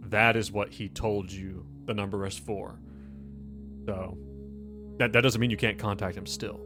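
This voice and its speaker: A man's voice: fairly low pitch, steady tone, a little slow. A man speaking as game master in a tabletop roleplaying game, explaining things in an informal, conversational way. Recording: music playing under the voice. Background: background music.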